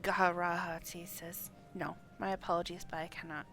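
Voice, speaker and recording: A woman's voice: lowish voice, flat delivery, normal speed, bright, forceful tone, faint music behind. A woman livestreaming a game, chatting and reading dialogue aloud, sometimes stumbling over names. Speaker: game streamer.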